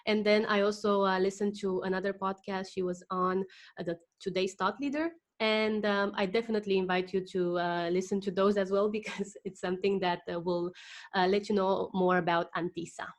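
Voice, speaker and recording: Woman, podcast host, enthusiastic, filler-heavy, medium pitch. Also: steady tone, a little fast. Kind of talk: podcast interview.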